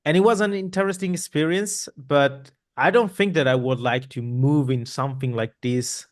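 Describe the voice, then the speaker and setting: A man's voice: a little high, moderate pace. A man, hosting a podcast interview in a loose, rambling way with many restarts and fillers. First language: Italian. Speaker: podcast host. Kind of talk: casual interview chat. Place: home room. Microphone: boom mic with headphones, video call.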